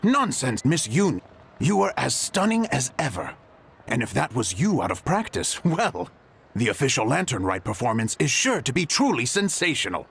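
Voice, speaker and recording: A man playing a refined, courteous gentleman in a video game, speaking formally and eloquently in a measured, composed way. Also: mid-range voice, sing-song, gravelly, slight background noise. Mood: gallant praise. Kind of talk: video game character dialogue.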